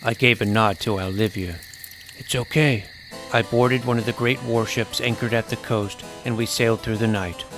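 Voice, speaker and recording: Clear music runs under a man as an earnest young hero telling his fantasy adventure. The narration is scripted, first-person and measured, in an audio drama storybook manner with a mid-pitched voice. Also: fairly even intonation, fairly bright tone, lightly acted. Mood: measured storytelling. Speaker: narrator and lead character.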